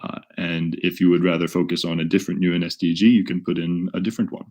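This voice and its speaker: A man facilitating a webinar, talking off the cuff in a measured, halting way as he explains and puts questions to participants. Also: sits low, little pitch movement, darkish tone. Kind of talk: unscripted webinar teaching, posing questions to participants.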